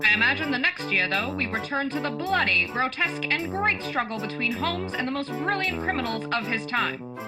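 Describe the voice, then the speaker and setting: Lady doing a 1940s radio host, high voice, announcer style, music behind. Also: animated, fairly quick, low-quality recording. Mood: formal, cheerful. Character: polished 1940s radio drama hostess.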